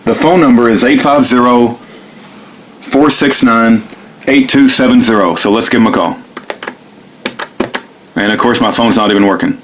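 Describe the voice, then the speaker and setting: A man speaking informally and earnestly, as if leaving a phone message or narrating one, in a halting, repetitive, pleading way. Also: medium pitch, slight background noise, low-quality recording. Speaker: activist video maker recording himself making a phone call.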